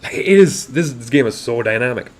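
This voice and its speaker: A man from India doing live, excitable fighting game commentary, his voice getting raspy, swinging between hype and quiet asides. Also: medium pitch, a little fast. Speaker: esports commentator.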